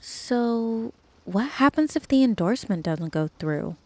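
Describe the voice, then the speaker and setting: A woman's voice: a little high, expressive, measured pace, warm tone, no echo. An actress playing a wry, blunt, anxious woman park worker in an audio drama. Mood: anxious, glum.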